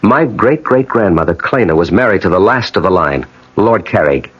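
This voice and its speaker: Husky, brooding man, animated delivery. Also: low voice, moderate pace. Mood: intense, brooding. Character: restless, brooding advertising man hunting his family's past. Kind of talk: 1980 radio drama acting. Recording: dull old radio broadcast recording.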